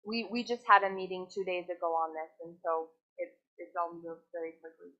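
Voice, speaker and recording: Female city staffer, slightly low voice, explanatory and conciliatory, muffled lo-fi meeting recording. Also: a little fast, sharp tone. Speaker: city planning staff member. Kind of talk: explaining rules at a public meeting. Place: public meeting room, on a microphone. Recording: dull lo-fi meeting audio.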